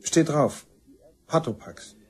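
An actor playing a resentful, self-excusing murder suspect in a crime radio play, talking in a colloquial, defensive way. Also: male voice, a little high, expressive, slow pace, husky, full character voice. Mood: sheepish.

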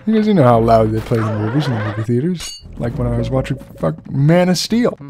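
A man's voice: medium pitch, brisk, music in the background. A young man with a relaxing voice commenting casually on a game, swearing freely and poking fun at himself. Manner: relaxed, profane, self-deprecating rambling. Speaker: let's play host.

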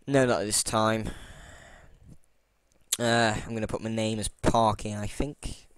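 A man's voice: on the low side, fairly even intonation, a little slow, smooth, dry recording. A man casually talking through a computer tutorial while recording his screen, rambling with many fillers and restarts, and apologizing for his boring voice. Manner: self-deprecating. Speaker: tutorial channel host.

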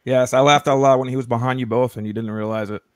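A man's voice: medium pitch, steady tone, fast, clean tone. Host, a man from Brooklyn, friendly questions and short upbeat replies on a studio mic. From Brooklyn, New York. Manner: upbeat short reactions, reads viewer comments. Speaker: live stream host. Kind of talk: casual interview chat. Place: home studio room with neon lights. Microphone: studio mic on a boom arm.

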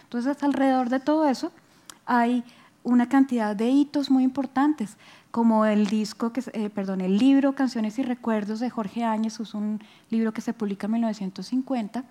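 A woman's voice: fairly high pitch, steady tone, moderate pace, warm tone. A woman giving an informal public lecture, explaining and often checking with short tag questions. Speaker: lecturer, music researcher. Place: auditorium stage at a book fair. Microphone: headset.

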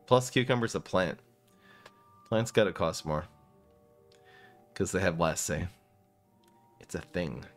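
Jokey low-voiced male game streamer. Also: expressive, takes it slowly, long pauses, soft background music. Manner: joking, rambling, often laughs at himself. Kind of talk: casual live-stream commentary. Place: small room indoors.

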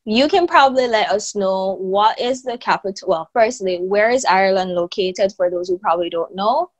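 A woman's voice: mid-range voice, animated, moderate pace. A young woman hosting a casual interview over a video call, upbeat and laughing often. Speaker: host. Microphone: earphone mic on a video call. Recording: dull lo-fi video call audio.

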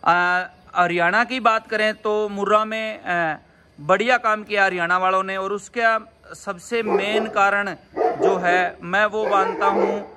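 A man's voice: high-pitched, steady tone, takes it slowly, background noise. A man interviewing at a farm, with an agreeable style and frequent affirming interjections. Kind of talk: casual interview.

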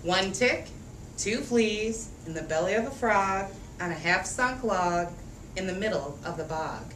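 A woman teacher gives an expressive, rhythmic storybook read-aloud for young children at an unhurried pace. She draws out phrases and engages the children directly. The sound is echoey and picked up at a distance from the mic. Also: mid-range voice. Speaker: teacher reading a picture book to young children. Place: echoey room with a group of children. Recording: echoey room, distant mic, faint noise.

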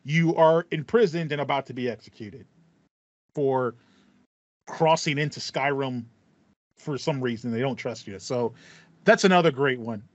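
A man's voice: mid-range voice, normal speed, long pauses, no echo, muffled recording. A man in his thirties co-hosting a casual podcast, talking enthusiastically and repeating phrases for emphasis. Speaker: podcast co-host. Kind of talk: casual chat about video games. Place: small home room with foam panels, indoors. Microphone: headset on a video call.

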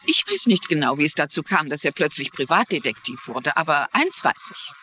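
An actress playing a shrewd, genteel middle-aged mystery writer and amateur sleuth, explaining things articulately in a German-dubbed TV mystery. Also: female voice, sits low, sing-song, fairly quick, bright, forceful tone, slight background noise, exaggerated voice. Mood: chatty, storytelling. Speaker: lead character and narrator.

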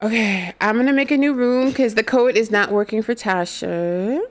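A woman's voice: a little high, normal speed. A young woman hosting a gaming stream, chatting and joking with friends over a studio mic at home. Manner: joking, laughs often. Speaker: streamer host. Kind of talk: casual chat while gaming. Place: small room at home, purple lights. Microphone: studio mic on arm.

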